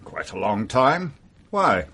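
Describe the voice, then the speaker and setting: An actor playing a haughty titled gentleman in a radio mystery drama, formal, guarded and defensive. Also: medium pitch, sing-song, takes it slowly, husky, heavily acted. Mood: guarded, uneasy.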